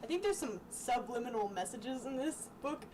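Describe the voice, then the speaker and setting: A woman's voice: fairly high pitch, lively intonation, normal speed. A young woman reading aloud in a casual, chatty way, breaking off into giggly asides. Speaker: amateur vlogger reading childhood books aloud. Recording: distant mic with clear background noise.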